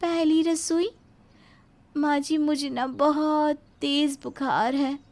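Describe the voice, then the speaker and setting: A woman's voice: high voice, steady tone, slow, a little mellow, voice pushed up. A woman voicing a lazy, sly young daughter-in-law in a moral story, whining and wheedling with exaggerated excuses. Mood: whiny, pleading. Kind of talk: animated moral storybook acting.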